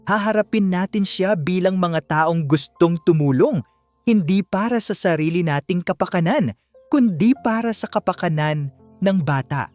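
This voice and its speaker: Determined, kind man, read by a story narrator: measured, soothing delivery at medium pitch with background music. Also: lightly acted. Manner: gentle, measured, reassuring. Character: earnest, gentle, determined man offering help.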